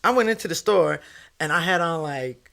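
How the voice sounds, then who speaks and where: A woman with a New York accent and a deep, authoritative way of talking, speaking emphatically and repeating phrases for stress in a casual podcast conversation. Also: animated, moderate pace, gravelly, a bit bright. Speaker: music industry guest.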